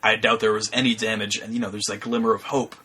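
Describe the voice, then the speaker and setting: A man's voice: on the low side, little pitch movement, fast talker, sharp tone, husky. A man co-hosting a podcast with casual banter and playful, mock-formal commentary, on a noisy recording. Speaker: podcast co-host. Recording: very noisy with clicks.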